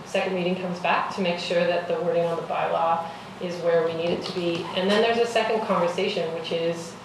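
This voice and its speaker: A woman chairing a council meeting, speaking in a measured, reassuring way with some ums. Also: lowish voice, fairly even intonation. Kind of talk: formal meeting chair, casual phrasing. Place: council chamber. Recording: echoey council chamber, far mic, noisy.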